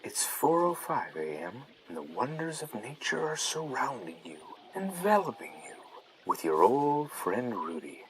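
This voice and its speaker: A man playing a dreamy late-night radio host, speaking in a hushed, breathy, soothing style over a running stream. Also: medium pitch, big pitch swings, unhurried, a crowd in the background, poor audio. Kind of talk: soft relaxation radio narration. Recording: nature ambience behind the voice.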